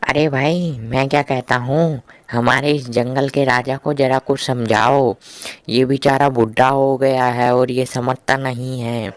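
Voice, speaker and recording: A man's voice: mid-range voice, steady tone, measured pace, no echo, natural voice. A man voicing an ambitious, cocky young lion who talks in a colloquial, boastful way in a cartoon fable. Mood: brash, mocking. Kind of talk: Hindi animated moral story dialogue. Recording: faint background noise.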